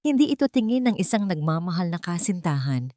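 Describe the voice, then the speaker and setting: Low-voiced woman narrating a dramatic story. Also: sing-song, fairly quick, dark tone, no echo, studio quality. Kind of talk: scripted dramatic narration of a courtroom romance story.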